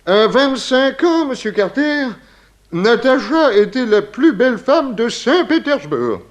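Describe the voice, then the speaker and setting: A pompous, flustered old-fashioned gentleman in a radio comedy. His very high voice rises and falls wildly as he stammers through mannered, doddering phrases. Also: very animated, slow pace, full character voice. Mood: storytelling, grandiose. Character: fussy, doddering, pompous gentleman lodger, senior resident. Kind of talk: 1960s French radio detective comedy acting.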